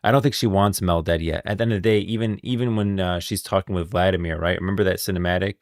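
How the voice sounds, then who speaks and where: Male streamer, very low voice, dry close-mic sound, casual opinionated commentary. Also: steady tone, fast, no echo. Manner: opinionated, thinking aloud with emphasis.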